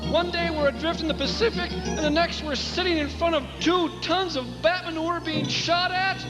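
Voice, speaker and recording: Plaintive gee-whiz rich kid, very high voice, comic radio play, music behind. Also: male voice, fairly even intonation, normal speed. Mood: whiny, worried. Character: whiny, earnest rich young boy. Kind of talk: comic radio drama acting. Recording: hall reverb, music behind.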